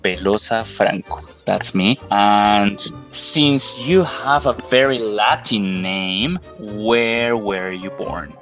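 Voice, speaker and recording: A man's voice: on the low side, slow pace, hardly any pauses, fairly bright tone, faint music behind. A man, a Spanish speaker, hosting a conversational interview. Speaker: podcast host.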